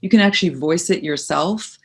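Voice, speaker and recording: A woman's voice: fairly low pitch, normal speed, husky. A middle-aged woman, a voice-over coach and actress, answering interview questions with emphatic, practical advice. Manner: practical, emphatic, uses second person advice.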